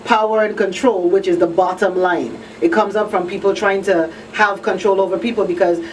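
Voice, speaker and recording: A woman hosts a live radio discussion in a conversational, emphatic way. She repeats key phrases and often checks that she is understood. Her medium-pitched voice sits a little away from the mic, with faint noise behind it. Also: steady tone, moderate pace, distant mic, rough recording. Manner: conversational, emphatic repetition, frequent rhetorical check-ins. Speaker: radio talk show host. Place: radio talk show broadcast.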